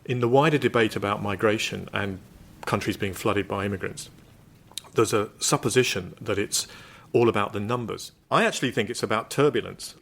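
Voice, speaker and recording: A man speaking in a thoughtful, measured way about his street and community in an interview. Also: on the low side, gravelly, a bit bright, a little hiss or hum. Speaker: interviewee, local resident. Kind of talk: reflective interview talk.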